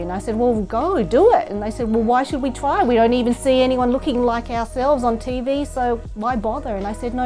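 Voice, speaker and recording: A woman's voice: fairly high pitch, dark tone. Middle-aged Australian woman telling anecdotes. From Perth, Australia. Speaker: interview guest, children's TV presenter. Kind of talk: casual anecdotal storytelling.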